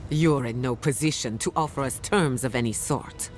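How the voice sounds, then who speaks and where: An actor playing a blunt adventuring companion in a video game. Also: male voice, medium pitch, normal speed, soft background music, close to an everyday voice. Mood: menacing. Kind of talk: scripted video game dialogue.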